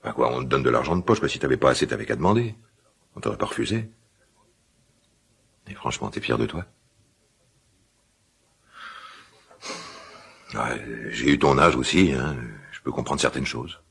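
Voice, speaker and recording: A gravelly, very deep-voiced father in a radio drama. He is gruff and easily worked up, yet caring. His delivery swings widely in pitch and keeps doubling back on itself. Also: expressive, a bit bright, heavily acted. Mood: stern, scolding. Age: middle-aged. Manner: halting, repeats himself, blustering colloquial speech. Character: gruff, quick-tempered but caring father of a teenage son. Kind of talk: naturalistic French radio drama acting.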